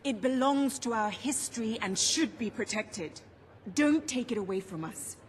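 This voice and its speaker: A woman's voice: a little high, animated, a little slow, a little hiss or hum. An actress playing an earnest, defiant activist pleading her case at court in a video game. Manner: earnest, impassioned, formal.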